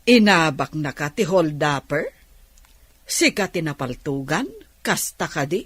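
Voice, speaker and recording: Husky older mom type voiced by actress, fussing n protective, swoopy pitch, dry close radio drama. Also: female voice, lively intonation, unhurried, a bit bright, no echo, heavily acted. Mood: worried, fussing. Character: fretful older mother or aunt, protective, fussing. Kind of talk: radio comedy drama acting.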